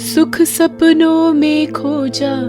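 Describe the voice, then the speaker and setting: A woman voicing a sorrowful ghostly witch mother, singing a slow, drawn-out lullaby in a horror story. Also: high-pitched, level tone, over music, pitched above the performer's own voice. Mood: mournful, soothing. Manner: slow, drawn-out sing-song.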